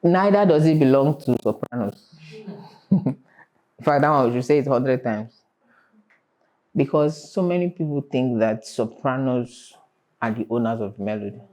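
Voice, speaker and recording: A man's voice: medium pitch, animated, measured pace, pauses often, darkish tone, low-quality recording. A man teaching a vocal class, stressing and repeating his points and singing short examples. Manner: emphatic, repeats key points, sings examples. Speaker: vocal coach.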